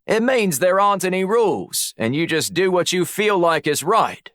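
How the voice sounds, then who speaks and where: Man putting on a high-pitched, breezy Australian bloke. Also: very animated, moderate pace, a bit bright, dry recording. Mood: breezy, cheeky. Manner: breezy, matey, protesting. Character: brash male spy posing as a breezy Australian. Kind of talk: audiobook character dialogue.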